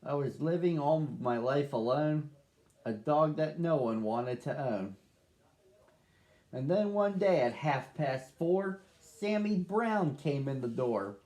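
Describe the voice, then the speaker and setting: A man's voice: on the high side, expressive, takes it slowly, a little mellow. A man narrating as a sad, lonely young male dog in a children's storybook, reading earnestly. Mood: wistful.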